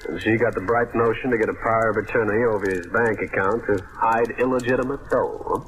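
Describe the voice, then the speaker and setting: An actor playing a hard-boiled, wry private detective in a vintage radio crime drama, on a noisy old broadcast recording. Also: male voice, fairly low pitch, fairly even intonation, fairly quick, fairly bright tone, natural voice. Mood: sardonic banter. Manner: wisecracking, tough-talking.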